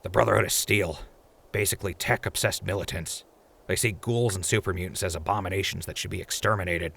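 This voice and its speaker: An actor playing a wisecracking, chatty ghoul radio host in a post-apocalyptic audio drama. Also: male voice, sits low, fairly even intonation, brisk, vocal fry, fairly bright tone, breathy edge, exaggerated voice. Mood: wry, uneasy.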